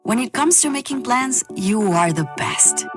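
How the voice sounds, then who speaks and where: A woman's voice: a little high, expressive, measured pace. A woman narrating a scripted commercial as a voice-over. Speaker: advertisement narrator. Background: music.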